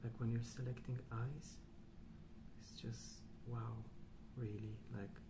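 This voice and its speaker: A man speaking softly and breathily in an ASMR style, often near a whisper, slow and calm with hesitant pauses between short phrases. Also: medium pitch. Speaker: ASMR host. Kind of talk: soft-spoken rambling ASMR. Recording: clear background noise.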